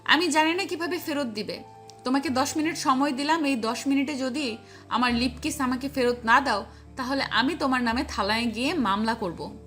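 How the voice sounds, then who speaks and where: A woman's voice: high voice, normal speed, fairly bright tone. A woman playing a feisty, stubborn, scorned young girlfriend in an audio love story, scolding and insistent. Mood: demanding. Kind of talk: acted dialogue in an amateur audio love story. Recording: voice over background music.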